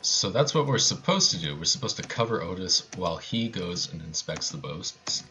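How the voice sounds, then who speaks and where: A man's voice: low-pitched, big pitch swings, normal speed, sharp tone, slight background noise. A man giving casual live commentary on his own video game speedrun, with playful, joking asides.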